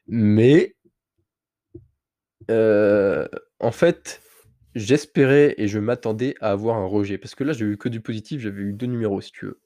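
A man's voice: fairly low pitch, measured pace, mellow, no room sound, studio quality. A man with a slight cold, hosting his own podcast and telling a personal story in a candid, rambling way straight to the listener. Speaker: podcast host. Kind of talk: casual solo podcast monologue.

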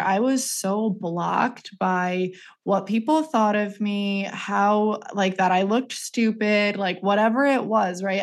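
A woman hosting an interview podcast, chatty and enthusiastic, affirming her guest as she talks. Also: medium pitch. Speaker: podcast host. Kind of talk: casual interview talk.

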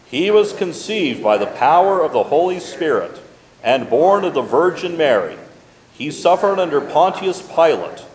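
A man leads a liturgical recitation as pastor. His voice is fairly high and very lively in pitch, ringing with the long reverb of a church sanctuary. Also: moderate pace. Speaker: pastor, worship leader. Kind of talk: leading congregational recitation of a creed.

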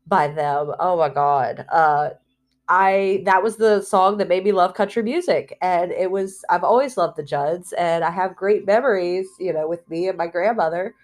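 A woman talking candidly in a personal spiritual vlog, rambling and heartfelt, with fillers, restarts and soft laughs between phrases. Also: lowish voice. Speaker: spiritual vlogger.

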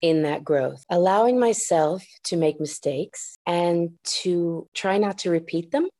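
A woman therapist on a podcast, speaking low and a bit gravelly. Her tone is dark and mellow, and she explains things in a reflective, measured way. The sound is dry and close. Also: dry recording. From United States. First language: Japanese. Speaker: clinical therapist guest. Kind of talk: podcast interview, thoughtful explaining.

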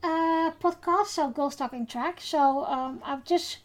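A Dutch woman, speaking English with her native accent, hosts a casual podcast. Her voice sits high and she talks at a slow, unhurried pace. She pauses often with fillers as she gathers her thoughts. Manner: unhurried, hesitant with many fillers. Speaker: podcast host.

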